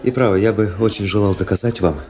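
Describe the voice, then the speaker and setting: An actor playing a cool, courtly young officer in a radio play, speaking with measured, polished politeness. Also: male voice, on the low side, fairly even intonation, crowd noise, close to an everyday voice. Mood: suave, courteous. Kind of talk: radio play narration and dialogue.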